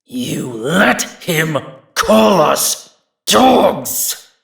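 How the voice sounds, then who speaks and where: A proud, wrathful wolf spirit in a reverberant, echoing effect. The voice is gravelly and very slow, full of bitter accusation, as in a fantasy audio drama. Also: male voice, fairly high pitch, big pitch swings, a bit bright, heavily acted. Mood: bitter, accusing. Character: fierce, resentful inner wolf, proud of its pack.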